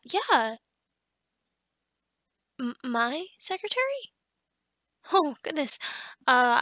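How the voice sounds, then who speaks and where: A woman performs an upbeat female office boss for an ASMR roleplay. Her voice is high and bright, recorded very dry. She chats in a bubbly, slightly scatterbrained way, hesitating as she goes and always encouraging. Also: no echo, full character voice. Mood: friendly, flustered. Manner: bubbly, chatty, with giggles and hesitations. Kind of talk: ASMR roleplay acting, conversational.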